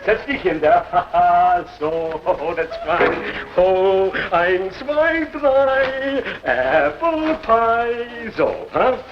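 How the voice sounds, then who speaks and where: An actor playing an excitable, fussy male dentist with a put-on German accent who gives bossy, sing-song orders and chuckles as he talks. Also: high voice, very slow, hardly any pauses, exaggerated voice. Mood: coaxing. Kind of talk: vintage comedy sketch. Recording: dull lo-fi old recording with hiss.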